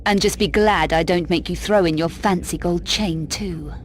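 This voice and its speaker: A woman's voice: fairly low pitch, moderate pace, a little hiss or hum, lightly acted. Woman acting a sardonic, tough adventurer heroine. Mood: taunting, cool. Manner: sardonic, curt, defiant. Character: brash, cool adventurer heroine, tough and sarcastic. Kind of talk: video game cut-scene acting.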